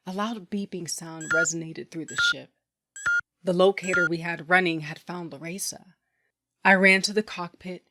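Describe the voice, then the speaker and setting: A woman's voice: fairly low pitch, bright, forceful tone, a little hiss or hum. An actress playing a tough, sardonic underworld boss who narrates her own story bluntly in an audio drama. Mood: tense, focused. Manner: blunt, wry. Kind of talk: first-person dramatic narration and acting.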